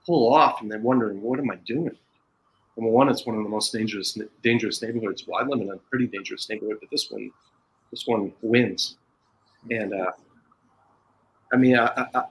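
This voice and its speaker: American man, rambling and halting. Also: mid-range voice, steady tone, vocal fry, rough recording. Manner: rambling, halting, with frequent restarts. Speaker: podcast guest. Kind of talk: casual chat.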